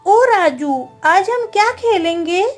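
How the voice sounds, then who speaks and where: A woman's voice: extremely high pitch, expressive, slow pace, heavily acted. A woman voicing an earnest, stubborn, tender-hearted young village girl in a Hindi cartoon story. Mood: playful, assertive. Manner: earnest, childlike. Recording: music under the voice.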